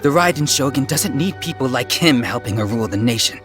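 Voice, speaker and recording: A man's voice: mid-range voice, animated, fairly quick, music in the background, lightly acted. An actor playing an aggrieved, bitter village clansman who speaks indignantly in a video game. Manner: indignant, demanding. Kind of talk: video game cutscene dialogue.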